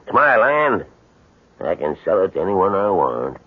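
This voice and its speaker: A stubborn, scornful old landowner in a radio drama. His low, husky voice swings wildly in pitch, gruff and drawling, on a dull 1970s radio recording. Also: big pitch swings, moderate pace, heavily acted. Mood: mocking, smug. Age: older.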